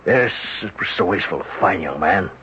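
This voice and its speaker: A fumbling, deep-voiced rich father. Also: animated, a little fast, sharp tone. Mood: uneasy. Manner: hesitant, with many "uh"s. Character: rich, fussy older father. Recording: dull old radio broadcast with faint hiss.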